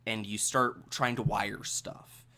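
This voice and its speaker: A game master with a medium-pitched voice improvises narration for a tabletop role-playing game. The delivery is conversational and halting, with phrases repeated while the next idea forms. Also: steady tone, pushed and bright.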